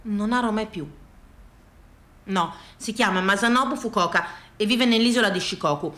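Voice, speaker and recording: A woman performing a comic storytelling monologue on stage, with rhetorical questions, repeated phrases and dramatic pauses. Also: mid-range voice, fairly bright tone. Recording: echoey room.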